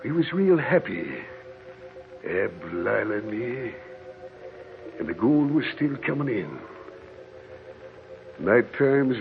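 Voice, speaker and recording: An actor playing a jealous, vengeful old American desert prospector with a rustic Western drawl in a radio horror play. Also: male voice, on the low side, creaky, heavily acted. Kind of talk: 1940s radio horror acting. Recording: scratchy old radio recording, muffled, crackly.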